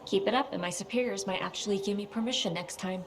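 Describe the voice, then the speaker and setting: An actress playing an earnest, orderly scout in a video game, matter-of-fact and slightly awkward. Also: female voice, medium pitch, fast, lightly acted. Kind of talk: video game cutscene acting.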